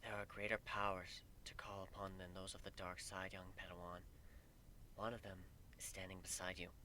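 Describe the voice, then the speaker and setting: A man voicing a wise, sorrowful female pony goddess in a hushed, breathy, solemn way for a dramatic story reading. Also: sits low, little pitch movement, normal speed, a little hiss or hum, pitched below the performer's own voice. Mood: quiet, solemn. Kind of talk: dramatic fan fiction reading.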